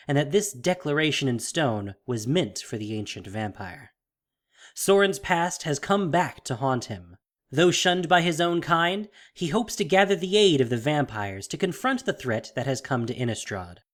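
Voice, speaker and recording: A narrator reading a scripted fantasy tale in a dramatic storytelling style. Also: mid-range voice. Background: soft music.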